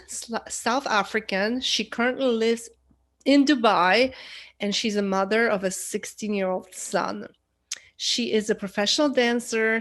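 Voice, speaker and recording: A young woman hosting a podcast from home into a desk mic, upbeat and friendly. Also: mid-range voice. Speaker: podcast host. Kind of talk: podcast interview. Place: home room. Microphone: desk microphone.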